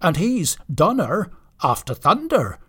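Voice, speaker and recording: A man's voice: sits high, wildly swooping pitch, takes it slowly, pushed and bright, slightly rough, pitched above the performer's own voice, full character voice. A man voicing a proud, argumentative reindeer in a storybook, speaking in an indignant, pedantic manner.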